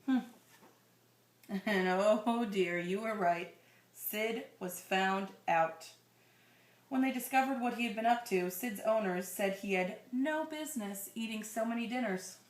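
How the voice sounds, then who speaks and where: A woman's voice: mid-range voice, unhurried. A woman reading a children's story aloud expressively, drawing out phrases and adding conversational asides and questions for her listener. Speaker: reader of a children's picture book to a listener. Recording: roomy, echoing sound.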